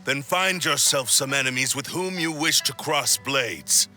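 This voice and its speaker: A sharp, menacing cursed-sword spirit. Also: male voice, medium pitch, animated, unhurried, faint music behind. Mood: cold, menacing. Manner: theatrical, haughty, menacing drawl. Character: cursed sentient sword spirit, male, proud, bitter, obsessed. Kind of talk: dramatic video game dialogue.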